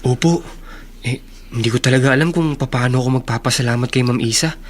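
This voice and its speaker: A man's voice: medium pitch, fairly quick, a little hiss or hum, close to an everyday voice. A troubled young son, acted by a man, respectful and hesitant. He speaks as a polite student in a radio drama. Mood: pensive.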